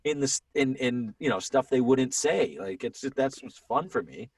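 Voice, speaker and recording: A man's voice: medium pitch, slightly rough, distant mic. A man in his thirties with a Boston accent, a guest voice actor in a casual podcast chat who tells his stories with animation. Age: 30s. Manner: animated storytelling, quick voice switches.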